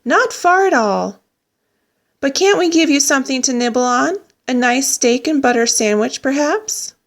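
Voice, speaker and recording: A woman's voice: high voice, lively intonation, moderate pace, voice pushed up. A woman voicing a sweet, coaxing plump wife.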